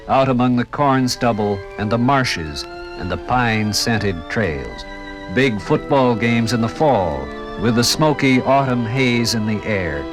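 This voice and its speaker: A man giving a lyrical, dramatic narration in the style of a 1950s radio documentary. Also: medium pitch. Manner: lyrical, rhetorical, dramatic phrasing. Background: music.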